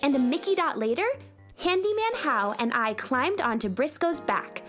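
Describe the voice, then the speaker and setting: A plucky young space cowgirl, acted by a woman, speaks in a high, lively voice over music. She is an eager adventurer from a children's radio serial. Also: moderate pace. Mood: excited storytelling.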